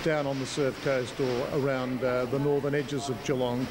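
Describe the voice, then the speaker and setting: A man's voice: medium pitch, flat delivery, normal speed, a crowd in the background. An Australian man working as a local TV news reporter, conducting interviews on location. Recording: noisy outdoor location, traffic ambience.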